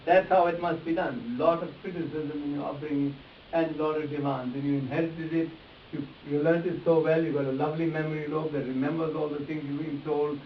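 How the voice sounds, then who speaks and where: A man teaching face reading in a live demonstration, addressing volunteers directly and repeating his instructions as he goes. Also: on the high side. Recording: echoey, distant mic, dull sound with hiss.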